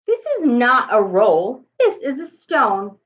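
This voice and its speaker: An angry, imperious Duke from a children's storybook, acted out by a woman. The delivery is commanding and high-pitched, with big swings and deliberate pacing. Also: huge pitch swings, lo-fi sound, full character voice. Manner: roaring, commanding.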